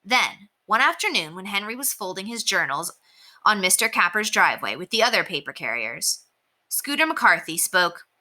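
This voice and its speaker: Woman teacher, storybook read-aloud, lively pitch, very bright tone. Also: normal speed. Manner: expressive storytelling. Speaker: elementary teacher. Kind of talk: reading a chapter book aloud.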